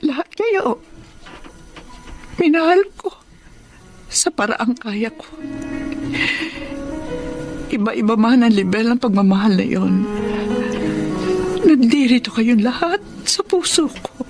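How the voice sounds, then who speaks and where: A woman's voice: fairly high pitch, big pitch swings, slow pace, long pauses, music in the background, exaggerated voice. An actress playing a loving, devout middle-aged mother and wife who narrates her life in a Filipino radio drama. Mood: tender, loving.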